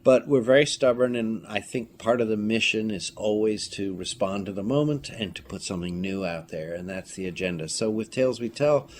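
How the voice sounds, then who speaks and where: A man speaking as an interview guest, telling casual anecdotes in an animated, emphatic way. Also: on the low side, a little fast, hardly any pauses. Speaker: filmmaker and writer, interview guest. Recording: clearly noisy room ambience.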